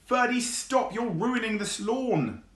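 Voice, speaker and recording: A man's voice: high-pitched, expressive, slow pace, roomy sound, voice pushed up. A man voicing a protective, heroic dog in a children's story, firm and scolding.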